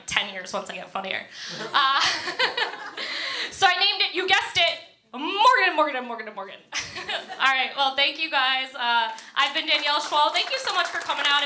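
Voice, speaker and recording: A woman's voice: high voice, noisy background. An American woman doing a self-deprecating stand-up set in a casual storytelling way, often giggling as she goes. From Florida, United States. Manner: giggles often through own delivery. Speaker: stand-up comedian. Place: indoor comedy club stage. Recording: echoey room, live comedy club.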